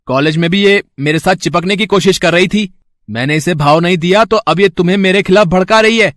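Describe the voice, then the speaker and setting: Man playing a cocky, higher-pitched college boy. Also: a little fast, a bit bright, no echo, studio quality. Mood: indignant. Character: spoiled, sly rich college boy. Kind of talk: dramatised Hindi moral story.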